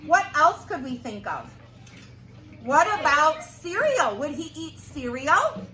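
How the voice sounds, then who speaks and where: A woman teacher reading aloud to young children, expressive and prompting them with questions. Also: high-pitched, takes it slowly, recorded from a distance. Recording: roomy echo with clear background noise.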